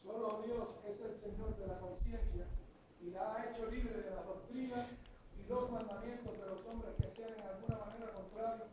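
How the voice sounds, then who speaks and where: A high-pitched, very husky man speaks up as a student in a church class. He answers the teacher's questions and reads a passage aloud for the group. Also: steady tone, moderate pace, long pauses, a little mellow, rough recording.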